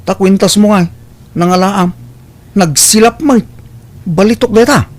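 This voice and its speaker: Casting: actor as concerned Ilocano dad, somewhat high-voiced, lecturing a grown child, for radio comedy drama. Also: male voice, a little slow. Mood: suspicious. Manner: questioning, lecturing. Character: concerned, admonishing father of a grown daughter.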